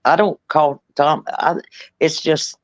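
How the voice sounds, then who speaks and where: A woman's voice: low voice, fairly even intonation, slow pace, no echo. A woman from North Carolina with a Southern accent, chatting casually in a sing-song, drawling way and calling people honey. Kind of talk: casual chat. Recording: dull lo-fi sound.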